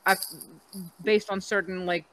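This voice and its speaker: A woman's voice: medium pitch, flat delivery, sharp tone, poor audio. Talkative woman guest from Czech Republic. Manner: halting, restarts phrases often. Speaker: podcast guest. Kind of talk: casual conversation.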